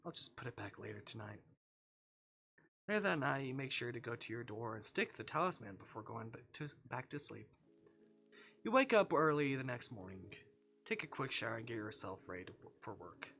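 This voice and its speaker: Visual novel streamer, very animated pitch, playful, expressive, reading aloud with live reactions, music behind. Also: a little fast. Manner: playful, expressive, acts out dialogue.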